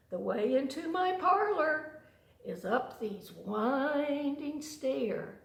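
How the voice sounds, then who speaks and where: A woman voicing a cunning male spider from a storybook poem, coaxing and flattering his prey in sugary, drawn-out phrases. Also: high-pitched, echoey room, full character voice. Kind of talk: storybook poem read aloud.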